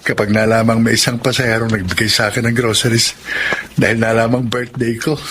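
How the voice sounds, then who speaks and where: A man's voice: mid-range voice, level tone, fairly quick, a bit bright, a little hiss or hum, natural voice. A man playing a humble, kind-hearted married jeepney driver in a radio drama, speaking earnestly and politely. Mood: gentle, earnest. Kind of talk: Tagalog radio drama acting.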